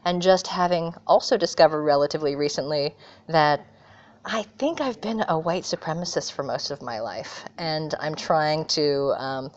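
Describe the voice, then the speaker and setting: A middle-aged American woman from California, answering interview questions earnestly and thoughtfully, pausing often with fillers. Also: low-pitched, lively intonation. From California, USA. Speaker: guest. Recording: dull lo-fi remote audio.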